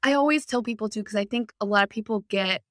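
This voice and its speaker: A young woman hosting a podcast in a casual chat, upbeat and encouraging with quick affirmations. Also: mid-range voice, a bit bright, no echo, clean studio sound. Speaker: podcast host. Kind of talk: casual conversational interview.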